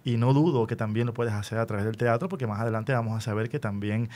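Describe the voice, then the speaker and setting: Man, radio interviewer, mid-pitched voice, encouraging, explanatory, conversational. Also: fast talker, a little mellow.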